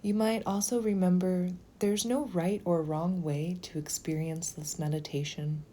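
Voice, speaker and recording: A woman leading a guided meditation in a slow, gentle, soothing manner, with phrases sometimes softening toward a whisper. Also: lowish voice, steady tone, a little hiss or hum.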